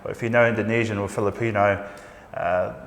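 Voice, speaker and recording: A man from New Zealand with a New Zealand accent, an English teacher chatting casually in a radio interview, friendly and relaxed, laughing and searching for words. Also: lowish voice, fairly quick, roomy sound, long reverb tail.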